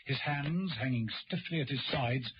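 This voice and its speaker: A man's voice: medium pitch, moderate pace, noisy background. A man reading dramatic scripted narration for a radio play. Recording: dull old radio recording, music behind.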